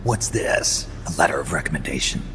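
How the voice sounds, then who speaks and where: An actor playing a stoic, gruff veteran soldier in a video game, terse and dry, with a gravelly voice. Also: male voice, extremely low pitch, expressive, a little fast, noisy background. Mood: dry, wry. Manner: terse, understated. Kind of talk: dramatic video game acting.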